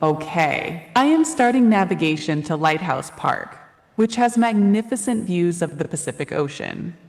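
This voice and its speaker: A synthetic woman's voice playing a calm, helpful AI assistant, polite and precise, heard in an echoey hall. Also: on the low side, normal speed. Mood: informative. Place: played through speakers in a large auditorium.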